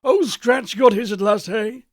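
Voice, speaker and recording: A man's voice: high voice, measured pace, no room sound, clean studio sound, pitched above the performer's own voice. A man voicing a wealthy businessman making flippant small talk in an audiobook. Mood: breezy. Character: wealthy male businessman, brisk and offhand.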